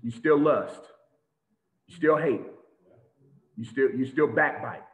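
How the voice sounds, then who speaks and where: A man preaching an animated sermon to a congregation over a video call, with lots of repetition and sudden shouts. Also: mid-range voice, slow. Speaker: teacher of a congregation. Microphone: video call webcam. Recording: tinny phone-like echoey audio.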